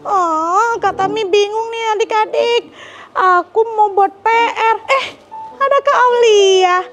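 A woman's voice: very high-pitched, slow pace, a bit bright. A young woman hosting a children's show, chatting playfully in exaggerated, wondering tones as she addresses the children directly. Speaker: children's show host and storyteller. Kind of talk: playful storytelling chat with children. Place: TV studio stage with children seated around. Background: music.

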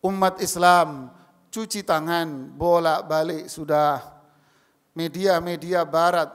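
Guy preaching at friday prayers, kinda high voice, chants arabic slow, big echoey mosque. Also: a bit bright. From Indonesia. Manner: rising emphatic calls to the congregation, chanting Arabic slowly. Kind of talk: Friday sermon. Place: large mosque hall, indoors. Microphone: stand mic at a pulpit. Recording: long mosque hall reverb.

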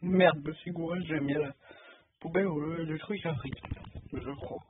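A man's voice: fairly high pitch, fairly even intonation, moderate pace. A man giving casual gameplay commentary as a video host, in sparse, offhand remarks to himself. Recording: muffled phone-like sound, clear noise, clicks.

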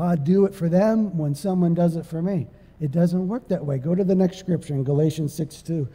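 A middle-aged man preaches from the stage of a church sanctuary, his voice high and mellow. He moves between chatty storytelling and forceful, emphatic preaching. The room gives his voice a long hall reverb. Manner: animated, conversational, rises to loud emphatic preaching. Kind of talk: storytelling sermon.

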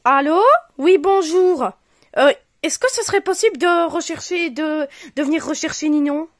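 A woman's voice: high-pitched, moderate pace, no room sound, clean studio sound, close to an everyday voice. A woman voicing a flustered mother who scolds her son and then apologizes politely on the phone. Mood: apologetic. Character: flustered mother looking after children.

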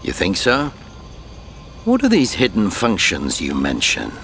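An actor playing a shrewd, easygoing middle-aged mentor in a video game. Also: male voice, lowish voice, huge pitch swings, a little slow, gravelly, fairly bright tone, a little hiss or hum, exaggerated voice. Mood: probing. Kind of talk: video game cutscene acting.

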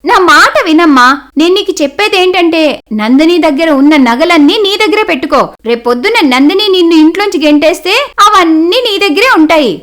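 Woman acting a high-pitched, bossy, jealous sister-in-law. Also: lively intonation, a little fast. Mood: nagging. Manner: sharp, bossy, complaining. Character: jealous, scolding, meddling married young woman, the sister-in-law. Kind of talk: cartoon moral-story dialogue.

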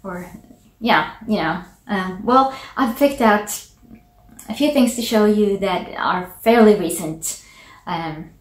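A Finland-Swedish woman in her forties, a native Swedish speaker, chats to the camera in a relaxed and unhurried way, pausing often to gather her thoughts. She speaks from her small home office, and her voice carries a noticeably roomy echo. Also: on the low side. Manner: relaxed, thoughtful, with many ums and pauses. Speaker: knitting podcast host. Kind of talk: casual chat to camera. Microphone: camera at desk distance. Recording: echoey small room.

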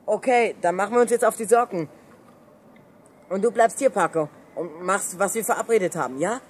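A woman playing a resourceful, confident young boy who leads a gang in a children's radio play, speaking briskly. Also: medium pitch, slightly rough, lightly acted. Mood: commanding. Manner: brisk, commanding, decisive.

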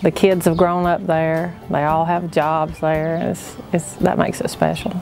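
A woman's voice: sits low, level tone, measured pace, darkish tone. A woman with a Southern US accent talking plainly in a documentary interview about working in a family business. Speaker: family auction worker. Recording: music playing behind the voice. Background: background music.

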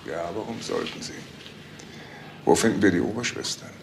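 An actor playing a coolly official police detective, pointed and pressing, in a German crime radio drama. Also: male voice, low voice, big pitch swings, moderate pace, vocal fry, noisy background, heavily acted. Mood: firm questioning.

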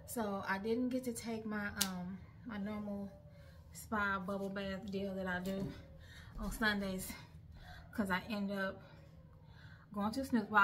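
Chatty woman vlogging from her phone. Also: mid-range voice. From St. Louis, Missouri. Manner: chatty and candid, talks straight to viewers. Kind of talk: casual vlog chat. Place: grocery store and parked car. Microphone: phone held at arm's length. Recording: loud background noise from phone.

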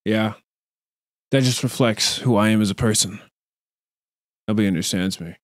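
A man's voice: fairly low pitch, normal speed, no echo, clean studio sound. A young man streaming himself reacting to a video, joking and teasing in casual commentary with mock apologies, talking into a desk mic with a headset in a room at home. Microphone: desk mic on a boom arm with headset.

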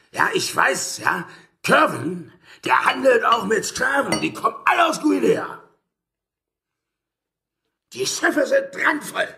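Gravelly, excitable gossiping townsman. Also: fairly high pitch, full character voice. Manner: theatrical, swinging from mutters to exclamations. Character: gossiping, chuckling, agitated townsman in a colonial port. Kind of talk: German audio drama acting.

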